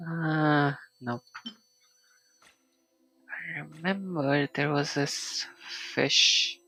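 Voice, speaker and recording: Man, casual game streamer, creaky voice, slow halting delivery, muttering to himself, faint background music. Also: fairly high pitch, steady tone, pushed and bright, rough recording. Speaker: video game live streamer. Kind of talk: casual solo game stream commentary, reading item text aloud.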